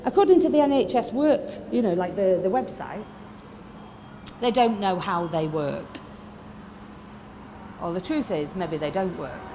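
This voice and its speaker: A woman in her fifties with a Yorkshire accent, giving a blunt, lively motivational talk to a live audience. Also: medium pitch, noisy background. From Lancashire, England. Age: 50s. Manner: lively, blunt and swearing, asks the crowd questions. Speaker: motivational speaker. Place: expo stage before a live audience.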